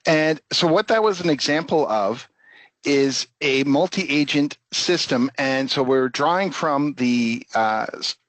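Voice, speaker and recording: A man's voice: mid-range voice, lively intonation, slow pace, fairly bright tone, no room sound, low-quality recording. A man giving an informal live product demo in the role of presenter.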